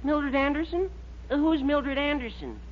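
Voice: high voice, a little slow. An actor playing a timid, gullible young nephew who sells insurance, with hesitant gee-whiz delivery in a 1940s radio sitcom. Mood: amazed. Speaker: comic actor. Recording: muffled 1940s radio recording, faint noise.

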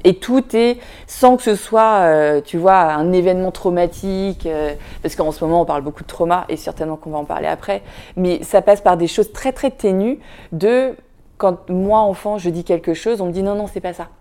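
Podcast guest, woman, low mellow voice, candid and halting, lots of fillers. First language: Vietnamese. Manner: thoughtful, many fillers and restarts, addresses listener with "tu vois". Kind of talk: casual reflective interview talk.

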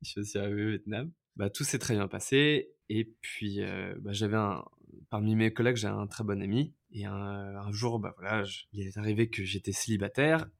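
A man's voice: lowish voice, steady tone, moderate pace, vocal fry. A man in his thirties from France, a podcast guest telling his life story in a casual, hesitant way with many fillers and restarts. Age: 30s.

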